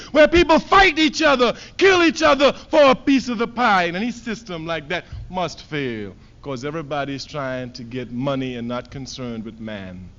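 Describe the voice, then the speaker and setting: A man from Trinidad giving a fiery political speech, emphatic and repeating his phrases, swinging from loud declarations to low asides. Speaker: political speaker. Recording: dull lo-fi archive recording.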